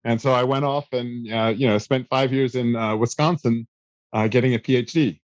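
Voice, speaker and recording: Relaxed man telling stories, dry room. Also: medium pitch, normal speed, dry recording, lo-fi sound. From South Africa. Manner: relaxed, self-deprecating storyteller with many fillers. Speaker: guest. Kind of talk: casual interview answers.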